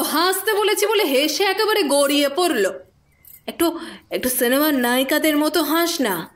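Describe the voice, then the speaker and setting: A woman's voice: high voice, a bit bright. A woman playing a bossy, exasperated matron of a girls' shelter in a theatrical audio horror story. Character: scolding, scheming older matron of a girls' shelter.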